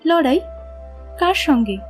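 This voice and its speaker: Composed, curious young woman, played by an actress, high-pitched, politely teasing, romantic audio drama over soft music. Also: animated, unhurried. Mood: gently teasing. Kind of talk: dramatised audiobook dialogue acting.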